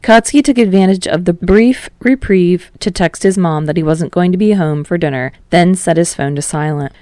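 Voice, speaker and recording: A woman's voice: fairly low pitch, moderate pace, darkish tone, dry recording, clean studio sound. A woman reading a story aloud as scripted audiobook narration. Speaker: audiobook narrator of fan fiction.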